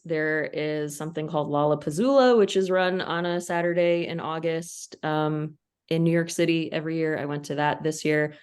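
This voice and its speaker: A woman's voice: low-pitched, clean tone. A woman, a crossword constructor, explaining fluently and with enthusiasm in an interview. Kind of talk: casual interview answer.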